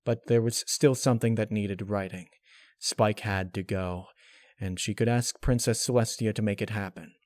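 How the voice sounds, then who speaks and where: A man's voice: on the low side, fairly even intonation, moderate pace, a little mellow, no room sound. Man narrating a story, very dry sound. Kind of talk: scripted fan-fiction narration, dramatic reading.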